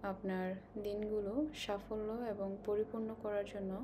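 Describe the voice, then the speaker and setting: A woman guides a meditation slowly and calmly, giving instructions with long pauses. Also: medium pitch, music in the background. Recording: clearly noisy, ambient sound behind voice.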